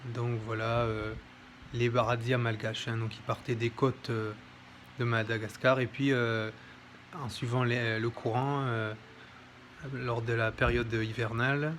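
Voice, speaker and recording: A man's voice: mid-range voice, level tone, unhurried, fairly bright tone, slight background noise. A man presenting a history book in an informal, hesitant commentary, with many fillers and self-corrections. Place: home near an airport.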